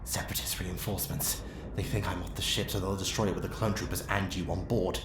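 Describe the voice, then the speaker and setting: A calculating Sith Lord acted by a man in an ASMR roleplay, with a low voice. He is measured, persuasive and commanding, tempting the listener toward darkness. The recording is echoey, with faint noise behind. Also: little pitch movement, lightly acted. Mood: calm, scheming. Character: calculating male Sith Lord, smooth seducer to dark side.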